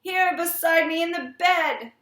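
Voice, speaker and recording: Woman as a panicked man, high strained pitch, urgent pleading, afraid of the dark, horror storybook, echoey room. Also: little pitch movement, extremely slow, far from the mic. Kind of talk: dramatic storybook character voice. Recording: roomy, echoing room sound.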